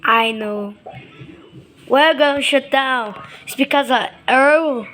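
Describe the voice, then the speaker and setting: Woman talking slow and halting over a video, kinda high voice, soft music behind. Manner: halting, chatty, unsure. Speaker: video creator reacting. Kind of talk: casual play-along commentary.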